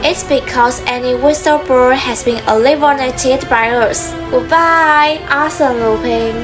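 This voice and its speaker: A woman's voice: high voice, measured pace, music in the background. A woman playing a cold, menacing government villain who taunts her victim in an amateur radio drama. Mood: menacing, amused.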